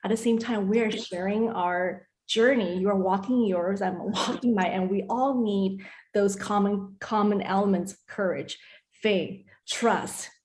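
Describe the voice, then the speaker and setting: A woman's voice: medium pitch, steady tone, unhurried, a little mellow. A woman from Taiwan hosting a casual spiritual conversation, effusive and talking in short bursts with frequent check-ins. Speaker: host of a spiritual conversation series.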